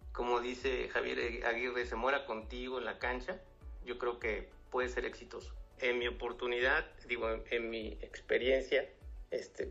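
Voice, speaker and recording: Man in his 50s, interview guest, gravelly and bright-toned, earnest, dull lo-fi sound with faint music. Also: medium pitch. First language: Spanish. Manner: earnest, repeats words, tag questions. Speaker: guest, finance professional. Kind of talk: interview answers, advice.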